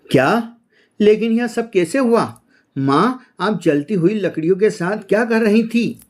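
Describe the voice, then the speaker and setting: A man's voice: high voice, lively intonation, unhurried, pitched above the performer's own voice. A man voicing a grown-up son and husband bird in a cartoon story, with an earnest, plain manner. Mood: alarmed. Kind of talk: animated moral story acting.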